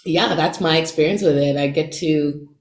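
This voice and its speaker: A woman's voice: deep voice, normal speed, echoey room. A woman raised in Jamaica, whose first language is Patois, speaking thoughtfully in an interview. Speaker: actor, cast member. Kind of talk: casual interview chat.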